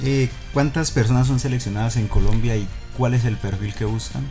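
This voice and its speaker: A man's voice: medium pitch, fairly even intonation, a little fast, over music. A man co-hosting an interview, opening his questions with a hesitant "eh".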